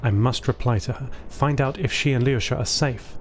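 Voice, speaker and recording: A man's voice: mid-range voice, moderate pace. A man narrating a scripted first-person science fiction story as a thoughtful, caring artificial intelligence. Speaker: narrator of an audio fiction podcast. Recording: voice over a music bed.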